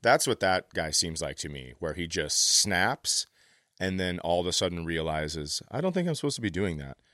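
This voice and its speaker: A man with a low voice trades casual banter on a comedy podcast. He comes across as sardonic and blunt, teasing and swearing freely. He is recorded close and very dry. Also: sing-song, moderate pace, fairly bright tone, dry recording.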